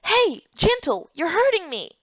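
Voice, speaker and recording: A woman's voice: very high voice, huge pitch swings, measured pace, a bit bright, dry recording, muffled recording, voice pushed up, full character voice. A woman voicing a confused, startled young woman lost in another world, in an amateur game dub. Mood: indignant protest.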